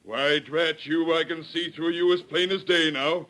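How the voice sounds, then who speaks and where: A man's voice: on the high side, fairly even intonation, a little slow, a bit bright. An actor playing a commanding Old West man in a 1930s radio drama, with an authoritative American delivery. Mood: indignant, decisive.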